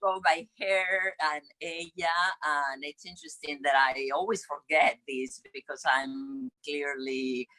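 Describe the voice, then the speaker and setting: A woman from Peru with a Peruvian Spanish accent and Spanish as her first language. She speaks as a panelist on a video call, pausing often and choosing her words with care. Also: low voice, fairly even intonation, bright, forceful tone, low-quality recording. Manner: slow, pausing, reflective. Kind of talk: panel discussion.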